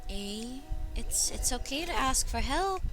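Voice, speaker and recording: A woman game streamer giving casual, expressive and emotionally reactive commentary while she plays. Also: high-pitched, a little slow. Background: video game music.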